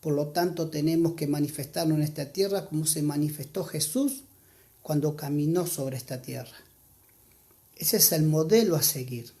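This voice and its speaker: A preacher with an Argentine accent, giving an earnest church sermon and reading scripture aloud, slowing down to stress key points. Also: mid-range voice, a little mellow. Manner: earnest, exhorting, slows down for emphasis.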